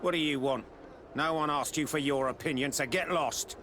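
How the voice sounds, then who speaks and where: An actor playing a surly, threatening street thug in a video game. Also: male voice, on the high side, moderate pace, bright, forceful tone, a little hiss or hum. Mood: menacing. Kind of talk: video game cutscene acting.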